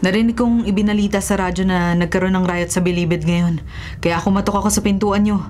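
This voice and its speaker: A woman's voice: medium pitch, steady tone, brisk, a little mellow, lightly acted. A woman with a Filipino accent playing an inmate's anxious but confident partner in a radio drama. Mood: earnest, defiant. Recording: faint music behind the voice.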